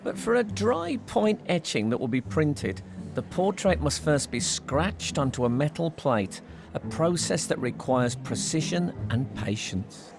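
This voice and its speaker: Male presenter, teasing and playful, slightly high, very animated, music underneath. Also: measured pace, gravelly, background noise. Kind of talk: scripted narration and jokey banter.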